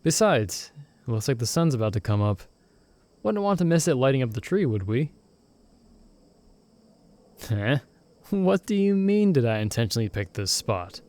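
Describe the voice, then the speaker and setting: A young man voicing a loyal friend stranded in another world, who talks in a soft-spoken, gently teasing and reassuring way in an ASMR roleplay recorded close to the mic. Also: medium pitch, very animated, moderate pace, long pauses, natural voice. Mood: gentle, reassuring.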